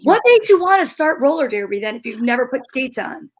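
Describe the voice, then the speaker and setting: High-voiced woman hosting a live show. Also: big pitch swings, brisk. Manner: chatty, enthusiastic. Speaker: show host. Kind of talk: casual live-stream hosting.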